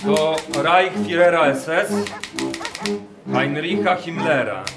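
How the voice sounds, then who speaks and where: Slow, officious SS scientist. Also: male voice, a little high, loud music behind the voice. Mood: officious, formal. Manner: formal, deliberate, precise. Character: obsessive German SS ornithologist, officious, menacingly tender with birds. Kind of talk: radio drama monologue. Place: performed live in a wooden barn.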